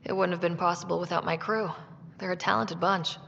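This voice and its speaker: A level-headed young female explorer, speaks in a lowish voice with noticeable fry. She is composed and plain-spoken, in reverberant sci-fi game sound. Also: fairly even intonation, brisk, fairly bright tone. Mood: guarded, modest. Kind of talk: video game voice acting. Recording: dull game audio, long reverb, faint noise.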